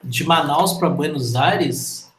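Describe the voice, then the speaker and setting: A man hosting a casual interview, reacting to stories with excited exclamations. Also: a little high, slow pace, reverberant, background noise. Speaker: podcast host.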